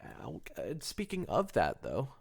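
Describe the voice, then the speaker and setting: A man's voice: fairly low pitch, huge pitch swings, takes it slowly, vocal fry. A man hosting a casual comedy podcast, joking and rambling with some swearing. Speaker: podcast host.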